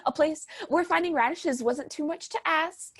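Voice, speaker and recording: A woman's voice: sits high, moderate pace, no room sound, clean studio sound, natural voice. A woman playing a doting but overbearing mother in a stage comedy, gushing and reciting with pompous theatrical grandeur. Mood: pompous, insistent.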